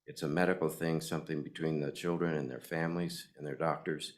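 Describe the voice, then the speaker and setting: An older man, a retired pediatrician with a gravelly voice and a cold, giving earnest public testimony to a committee. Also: rumbling low, steady tone, fairly quick. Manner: earnest, hesitant with fillers. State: has a cold. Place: legislative committee hearing room.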